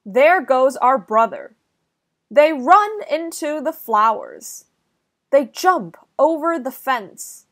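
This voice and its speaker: A young woman reading a children's story aloud, enunciating carefully and deliberately for young listeners. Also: high voice, big pitch swings. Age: young adult. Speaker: children's channel host and story reader. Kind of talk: slow, clear children's read-aloud.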